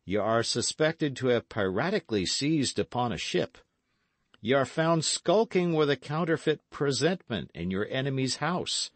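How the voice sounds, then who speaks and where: A man's voice: mid-range voice, no room sound, pitched above the performer's own voice. A man voicing a stern but fair-minded English earl in an audiobook, with formal, weighty, archaic speech. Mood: stern, grave.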